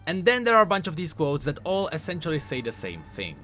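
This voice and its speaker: A man with a fairly high voice delivers game lore commentary over faint music. His delivery is informal and emphatic, a scripted explainer loosened by casual asides. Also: huge pitch swings, fast. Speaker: video essay host, game lore commentator. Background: background music.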